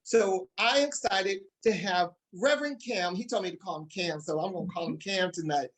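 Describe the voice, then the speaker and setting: A man hosting a talk show in an upbeat, excited and emphatic way, addressing his audience directly. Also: high-pitched, moderate pace.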